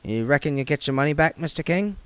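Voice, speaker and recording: A man's voice: on the high side, animated, fast, smooth, no room sound, pitched above the performer's own voice, lightly acted. A man voicing a bold but polite young male clerk who speaks matter-of-factly.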